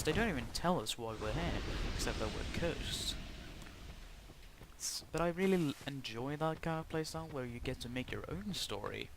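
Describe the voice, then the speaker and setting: A man from Norway, talking in a halting, self-conscious way as he gives casual commentary over a video game. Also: medium pitch. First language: Norwegian. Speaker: gaming channel host. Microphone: cheap headset mic. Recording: cheap headset mic with loud background noise.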